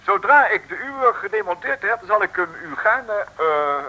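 An actor playing a pompous, politely sinister professor in an old radio serial, speaking formally with many hesitant ehs. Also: male voice, sits high, expressive, moderate pace, bright, forceful tone, exaggerated voice. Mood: eagerly sinister.

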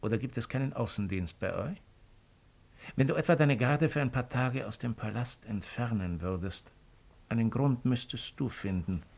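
An actor playing a cold, calculating Roman imperial agent in a radio drama, speaking in a measured, insinuating way. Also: male voice, medium pitch, gravelly, a little mellow. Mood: insinuating, calm. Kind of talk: 1950s German radio drama acting.

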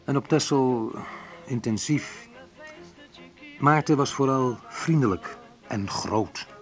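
An actor playing a reflective man in a naturalistic radio drama, talking in a conversational, hesitant, wry way with a husky voice. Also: mid-range voice, slow pace, soft background music, lightly acted. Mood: wry, nostalgic. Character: Menno, reflective man recalling a school friend. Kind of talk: naturalistic radio drama monologue and phone talk.

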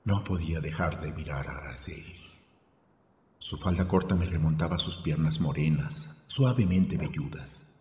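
A man's voice: a bass voice, normal speed, darkish tone, slight background noise. An actor with a Mexican accent playing an anxious, obsessed man of thirty-two who narrates a radio drama in the first person. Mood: brooding. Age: 30s. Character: obsessed, anxious 32-year-old man narrating his troubled thoughts. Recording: echoing long reverb, music underneath.

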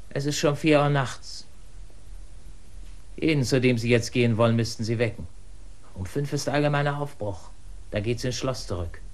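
An actor in a radio drama playing an official who explains at length in a measured way and rises to urgent insistence. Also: male voice, on the high side.

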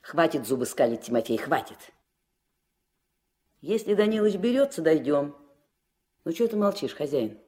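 A motherly older woman of the crew, with a mellow voice and very varied intonation. She is a firm, no-nonsense peacemaker. The recording is a vintage radio play, a little off-mic. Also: low-pitched, sing-song, moderate pace, long pauses. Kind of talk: Soviet radio play acting.

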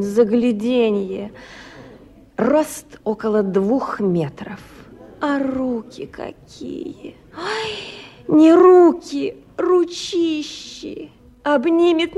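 Slow, teasing matchmaking woman. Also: fairly high pitch, a little mellow, exaggerated voice. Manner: coaxing, savouring words. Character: playful, teasing cashier woman matchmaking for a friend. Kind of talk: radio play acting.

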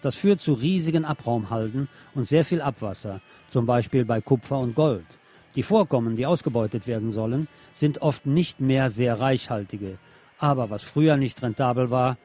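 A man's voice: medium pitch, moderate pace, mellow, soft background music. A man presenting on the radio, reading press articles aloud.

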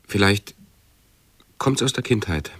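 Anxious male hypochondriac for radio drama: lowish, dry-sounding, halting and obsessively exact. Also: lively intonation, fairly bright tone, no echo, soft background music, heavily acted. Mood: halting, brooding. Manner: halting, brooding, fussily precise. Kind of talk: radio drama monologue and dialogue.

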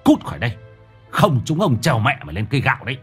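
A man's voice: mid-range voice, very animated, husky, soft background music, pitched above the performer's own voice. A man voicing a coarse, threatening male villager who rattles off insults and orders. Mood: menacing scorn. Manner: rapid, coarse, contemptuous.

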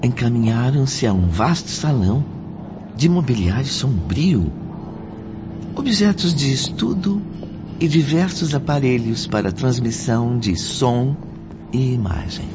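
An actor playing a solemn storyteller, narrating a Brazilian radio drama in a deliberate, dramatic manner. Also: male voice, medium pitch, expressive, natural voice. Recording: dull lo-fi radio drama, loud music behind.

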